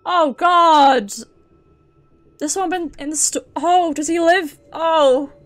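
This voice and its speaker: A British woman livestreaming a horror game, thinking out loud in casual, sweary commentary and reacting as she plays. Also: extremely high pitch, takes it slowly.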